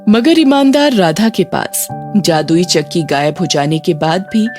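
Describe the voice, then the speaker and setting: Slow-paced woman storyteller over music. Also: on the low side, expressive. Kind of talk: scripted children's story narration. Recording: music bed under narration.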